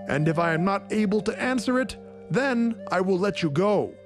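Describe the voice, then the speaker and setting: A man's voice: high voice, animated, moderate pace, soft background music. A man voicing a gleeful, mocking male evil spirit that bursts into laughter, in a storybook reading.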